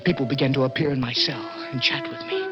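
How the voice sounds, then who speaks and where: A man's voice: medium pitch, wildly swooping pitch. A man playing a desperate young imprisoned poet in earnest, dramatic 1960s radio suspense style. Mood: bleak, haunted. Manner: intense, earnest, dramatic, halting when startled. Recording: dull old radio recording, prominent music.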